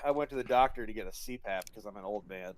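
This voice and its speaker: A man's voice: lowish voice, fast, a loud crowd. A man in his late twenties who leads training in a gaming group, talking in casual voice chat, profane and prone to stammered restarts. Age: 20s. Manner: profane, restarting, loose banter.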